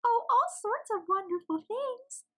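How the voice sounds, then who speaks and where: A woman voicing a bubbly, excited young girl penguin in a storybook, with a squeaky, gushing way of talking. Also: unhurried, exaggerated voice. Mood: eager, reassuring. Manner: gushing, eager.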